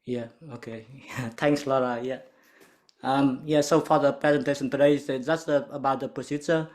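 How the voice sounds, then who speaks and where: A man's voice: medium pitch, steady tone, normal speed, a little mellow. Man hosting a webinar, hesitant, lots of fillers, video call audio. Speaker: webinar host.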